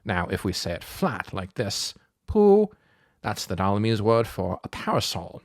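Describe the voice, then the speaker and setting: A man voicing a polite, pedantic boy scholar in a storybook, wordy and old-fashioned in his gentlemanly phrasing. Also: lowish voice, steady tone, normal speed, dry recording, studio quality, natural voice. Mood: lecturing. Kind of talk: storybook character voice.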